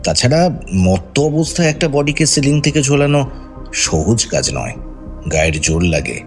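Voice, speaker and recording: A male detective in a radio play, medium-pitched and very expressive. He questions with deliberate, needling insinuation over soft music. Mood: probing, insinuating. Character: probing, needling male detective, coolly provocative. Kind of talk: radio drama acting.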